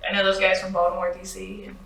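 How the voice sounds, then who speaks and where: A woman's voice: mid-range voice, completely flat delivery, fast, vocal fry, fairly bright tone, far from the mic. A woman who sings and writes lyrics for a band, speaking hesitantly with many fillers and giggles. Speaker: band singer and lyricist guest. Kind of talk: casual interview chat. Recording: roomy, off-mic.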